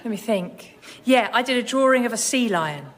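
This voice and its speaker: A sincere, scatterbrained young student type, acted by a woman, talks breezily in a fairly high voice. It is radio sketch comedy with heavy noise on the recording. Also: animated, normal speed, natural voice. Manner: matter-of-fact. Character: breezy, sincere, scatterbrained female exam-taker.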